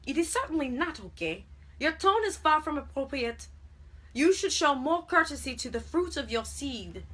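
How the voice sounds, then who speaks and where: A woman's voice: a little high, moderate pace, fairly bright tone, pitched above the performer's own voice, poor audio. A woman voicing a stern young brother with a French accent in a story, speaking formally and precisely. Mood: indignant. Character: formal, indignant young brother with courtly manners.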